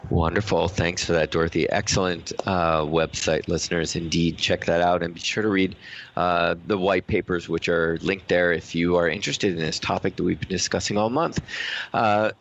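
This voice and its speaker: A man's voice: low-pitched, fairly even intonation, moderate pace, highs cut off. A man hosting a radio show in a conversational style.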